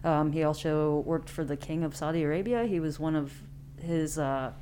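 An American woman in her thirties with a notably low voice tells personal stories as an interview guest. She speaks informally, thinking aloud as she goes. There is a faint hum in the recording. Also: normal speed, warm tone. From Independence, Missouri, United States. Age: late 30s. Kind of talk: casual chat, personal storytelling.

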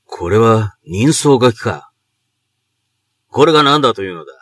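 An imperious samurai lord, bright and forceful. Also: male voice, fairly low pitch, animated, normal speed, no room sound, clean studio sound. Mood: stern, guarded. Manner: archaic, imperious samurai speech. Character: proud middle-aged noble commander, anguished father of grown son. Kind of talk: Japanese period voice drama acting.

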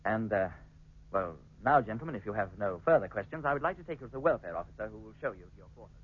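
Muffled vintage radio serial: British spaceship captain, fast-talking, formal, courteous and sure of himself. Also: male voice, lowish voice, expressive, husky. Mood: assured, courteous. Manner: polite, formal, measured assurance. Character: courteous, confident male space expedition captain. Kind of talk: 1950s British radio drama acting. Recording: muffled, band-limited 1950s radio recording.

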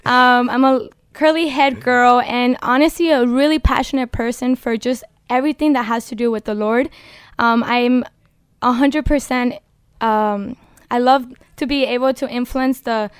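A passionate young woman in her twenties, originally from the Dominican Republic, talking openly about her faith as a podcast guest. Her voice sits a bit high and sounds smooth and resonant. It is recorded close and dry in a studio. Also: steady tone, normal speed, no echo. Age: 20s. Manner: earnest and passionate, exhorting listeners. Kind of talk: casual faith-based podcast talk.

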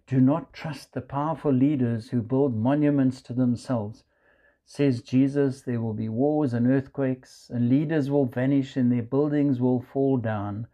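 South african guy preaching slow, dark mellow voice, reads scripture out. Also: medium pitch, fairly even intonation, dull audio. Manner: measured, deliberate preaching with scripture quotes. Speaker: pastor. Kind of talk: sermon.

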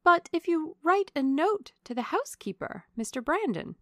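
Woman putting on an eager, high-voiced young lady. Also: very animated, moderate pace, dry recording, clean studio sound.

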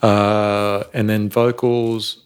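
A man, a sound engineer guest chatting in a relaxed, rambling way and laughing often. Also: on the low side, extremely slow, clean tone. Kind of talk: casual interview talk.

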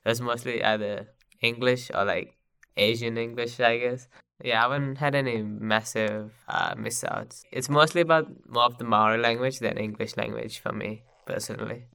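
A man's voice: medium pitch, moderate pace, creaky, smooth, dry recording. A young man from Sri Lanka, a native Sinhalese speaker, chatting casually as a podcast guest with lots of "like" fillers. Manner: relaxed, filler-heavy, says "like" often.

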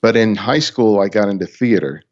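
Low, deliberate man on dull audio. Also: a little mellow. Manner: slow and deliberate delivery. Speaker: podcast guest and podcaster. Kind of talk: casual conversation.

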